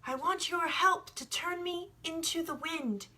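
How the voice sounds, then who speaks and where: A woman's voice: extremely high pitch, a little slow, sharp tone, pitched above the performer's own voice, heavily acted. A woman voicing an earnest, bold young boy who philosophizes emphatically in a storybook read. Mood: pleading.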